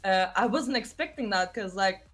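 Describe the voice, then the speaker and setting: A woman's voice: medium pitch, animated, moderate pace, a bit bright, faint music behind. A woman from Turkey with a Turkish accent, a guest streamer in a casual podcast chat, speaking English as her second language, hesitant and giggly as she searches for words.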